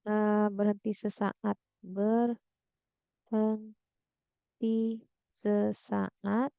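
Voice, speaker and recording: A woman talks slowly through a math problem, thinking aloud and checking in with her listeners. Her voice is heavily gravelly with vocal fry. The recording is dull and lo-fi, close and dry. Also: medium pitch, a little mellow, dry recording. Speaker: math tutor. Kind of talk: slow worked-example tutoring.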